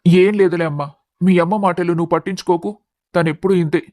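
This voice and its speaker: A man's voice: high voice, steady tone, brisk, a little mellow, dry recording, clean studio sound, natural voice. A man voicing a mild, earnest middle-aged family man in a narrated story. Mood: placating.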